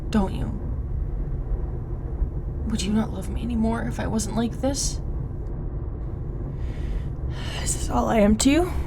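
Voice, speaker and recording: A woman acting an insecure, hurt but tender young girlfriend in an intimate audio roleplay, speaking haltingly and with raw emotion. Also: on the high side, creaky, background noise. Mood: hurt, indignant.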